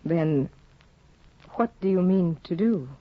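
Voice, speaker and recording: An actress in a 1950s radio play, voicing a cold, controlling aristocratic woman who speaks in a cool, measured way. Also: on the low side, lively intonation, no room sound. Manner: cool, measured, quietly manipulative. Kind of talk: 1950s radio drama acting. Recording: dull, dry 1950 radio broadcast.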